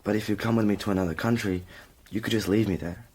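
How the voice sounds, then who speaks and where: Low-voiced, needy young runaway. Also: male voice, fairly even intonation, fast talker, a little mellow, husky. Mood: tentative, pleading. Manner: tentative, pleading. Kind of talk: radio drama dialogue.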